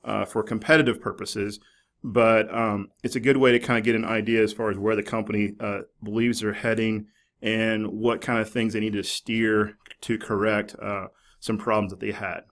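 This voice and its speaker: Low-voiced man giving a casual tutorial. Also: flat delivery, moderate pace, fairly bright tone. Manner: conversational, informal, hedging with "basically" and "kinda". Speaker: university librarian presenter. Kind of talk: screencast tutorial, casual explanatory talk. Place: small office, indoors.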